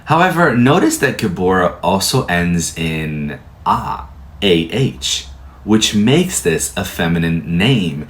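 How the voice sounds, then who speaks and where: A man whose first language is Portuguese, calmly explaining tarot in a measured, soft-spoken way. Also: low voice. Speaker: tarot teacher. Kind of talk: calm explanatory lecture.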